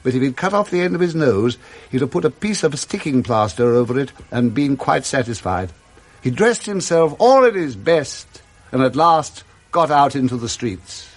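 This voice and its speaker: An English man narrates in a dramatic storybook style for radio. He relishes every word and moves his pitch about freely and expressively. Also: sing-song, normal speed, soft background music.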